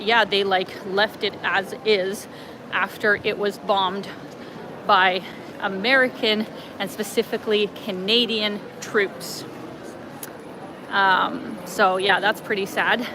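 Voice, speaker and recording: A woman vlogger chatting casually while walking outdoors in light rain, reading viewer comments on a livestream with lots of fillers. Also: medium pitch. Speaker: travel vlogger reading live chat. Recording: clear street noise behind. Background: city traffic and passing vehicles.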